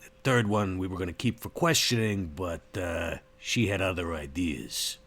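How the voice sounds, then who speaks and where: A man playing a world-weary, casual tough-guy vampire in a tabletop roleplay, talking in a laconic, folksy, halting way. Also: low voice, a bit bright. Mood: matter-of-fact.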